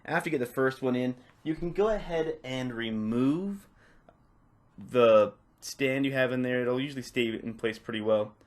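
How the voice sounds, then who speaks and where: A man narrating a step-by-step tutorial off the cuff, in a casual, instructional way with softened phrasing. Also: mid-range voice, moderate pace. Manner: casual, instructional, hedging with "kinda" and "a little bit". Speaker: repair tutorial presenter.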